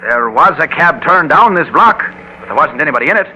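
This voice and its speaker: A man's voice: fairly high pitch, expressive, fairly quick, pushed and bright, dull audio. An actor playing a worried, dutiful American city policeman and young father in an old-time radio drama, with plain working-man speech. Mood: alert. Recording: noisy vintage AM radio drama recording.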